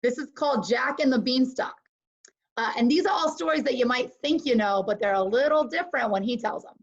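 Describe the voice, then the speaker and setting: A woman's voice: on the high side, a little fast. A woman reading a rhyming bedtime story expressively, as a storyteller and co-host. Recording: dull lo-fi call audio.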